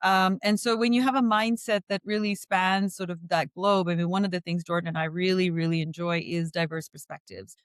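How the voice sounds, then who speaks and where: A woman's voice: on the low side, dry recording. Articulate Indian-born woman, dry sound. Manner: measured, articulate, long flowing sentences. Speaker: podcast guest, VC fund co-founder. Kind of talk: interview conversation.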